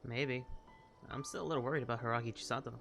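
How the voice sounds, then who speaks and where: Gaming video host: man, average register, casual and conversational, over clearly audible game music. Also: mid-range voice, steady tone, fast talker, a bit bright. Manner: informal, chatty. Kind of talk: casual gameplay commentary.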